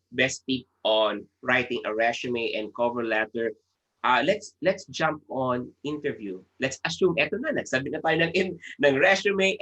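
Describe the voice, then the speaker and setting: Woman host, very deep voice, slow measured questions, mixes english and tagalog. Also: fairly bright tone. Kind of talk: casual interview hosting.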